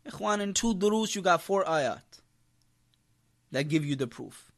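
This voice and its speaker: High-pitched man preaching emphatically. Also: expressive, unhurried, gravelly. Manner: emphatic, repeats key phrases, puts rhetorical questions to listeners. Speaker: imam teaching a religious class. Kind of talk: impassioned religious lecture to a congregation.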